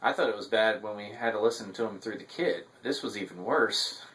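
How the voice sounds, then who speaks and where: A man delivering a semi-scripted recap and review as commentary, with a halting delivery full of filled pauses. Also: lowish voice, fairly bright tone, poor audio. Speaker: TV episode reviewer.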